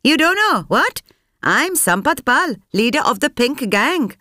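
A woman narrator voicing a fierce, defiant woman gang leader who shouts and scolds. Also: high voice, sing-song, unhurried, dry recording, studio quality, pitched above the performer's own voice, exaggerated voice. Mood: indignant.

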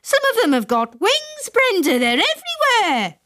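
A woman's voice: extremely high pitch, huge pitch swings, normal speed, bright, forceful tone, pitched above the performer's own voice, heavily acted. A woman voicing a panicky middle-aged father, frantic and shrieking in alarm.

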